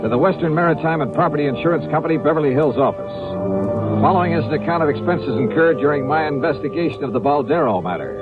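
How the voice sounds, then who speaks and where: An actor playing a brisk, wry male insurance investigator in a 1950s radio detective drama, with clipped delivery. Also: on the high side, lively intonation, lightly acted. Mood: dry, matter-of-fact. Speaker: detective narrator and lead. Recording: muffled old radio recording, loud music behind.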